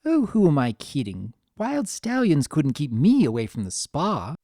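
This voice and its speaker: A reader voicing a vain, refined fashionista pony mare with a genteel, dramatic and expressive delivery in a story. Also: mid-range voice, unhurried, dry recording, studio quality, exaggerated voice. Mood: theatrical, playful.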